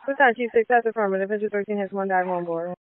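A woman's voice: mid-range voice, very fast, background noise. A woman working as an emergency dispatcher, speaking in clipped procedural style over the radio. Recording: lo-fi narrow radio dispatch audio.

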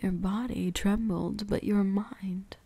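A breathy, husky whisper with a creaky edge, dark and soft. It is a possessive vampire huntress played by a woman in an ASMR roleplay, slowly teasing and commanding her prey with quiet menace. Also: mid-range voice, steady tone, no room sound, exaggerated voice. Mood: hypnotic, commanding.

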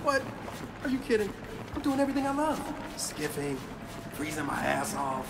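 An actor playing a loyal, wry young male soldier in a video game, speaking in an animated way. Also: a little high, normal speed, creaky, background noise. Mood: wry, low-key.

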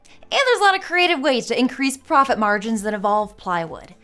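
Gushing, naive young American girlboss fan, played by a woman, sugary, higher-pitched, music underneath. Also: sing-song, brisk, pushed and bright. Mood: sweetly gushing. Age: young adult. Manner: saccharine, gushing. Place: pink studio set.

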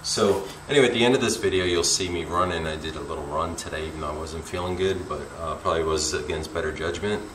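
A middle-aged man with a gravelly voice, talking casually and a little uneasily to viewers in a rambling handheld vlog. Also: deep voice, quick pace, hardly any pauses. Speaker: urban exploration vlogger. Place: abandoned derelict house and surrounding woods. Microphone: handheld camera at arm's length. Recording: faint outdoor noise with chirping insects.

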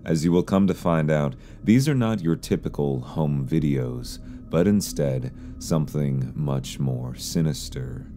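Very deep-voiced man narrating, dark tone. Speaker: YouTube narrator. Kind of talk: scripted explainer narration of horror videos.

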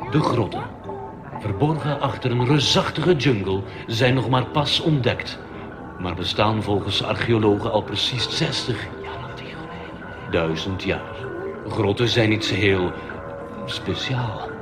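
Male announcer, deadpan mock-serious delivery with silly asides, lowish voice, loud music behind. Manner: mock-solemn, absurd asides. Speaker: ride announcer. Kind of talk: comic scripted attraction announcement. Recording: music playing loudly over it.

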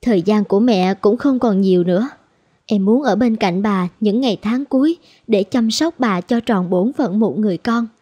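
A woman's voice: mid-range voice, normal speed, warm tone, breathy edge, no echo, lightly acted. A woman playing an earnest, emotive young nurse and mother, tender and sorrowful, in a cartoon drama. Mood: reflective, sad. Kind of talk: dramatic cartoon dubbing in Vietnamese.

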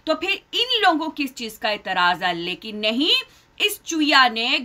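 A middle-aged woman vlogger delivers an indignant, scolding commentary straight to her webcam. Her voice sits high and sounds bright and sharp. She hammers her points home by repeating phrases. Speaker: reaction vlogger. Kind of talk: heated commentary to camera.

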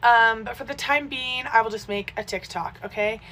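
Young woman, casual chat, slightly high voice, close phone mic. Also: normal speed, a bit bright.

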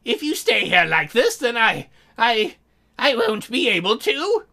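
Woman putting on a high, earnest demon girl. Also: big pitch swings, unhurried, vocal fry, bright, forceful tone, exaggerated voice. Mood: flustered. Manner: hesitant, earnest, stammering. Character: shy, lonely, earnest young female demon. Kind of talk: visual novel character read aloud.

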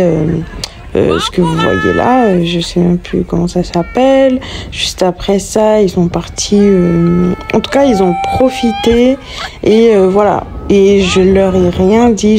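A woman in her thirties narrating her family vlog in a relaxed, hesitant way with many pauses and ums. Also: medium pitch, gravelly. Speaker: vlogger. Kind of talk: casual voice-over narration. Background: music.

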